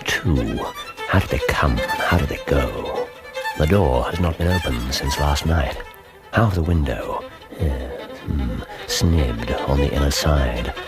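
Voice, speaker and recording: An actor playing a brisk, commanding English detective in a radio drama, incisive and theatrical. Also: male voice, extremely low pitch, over loud music. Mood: musing. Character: brisk, commanding, keen-minded male detective.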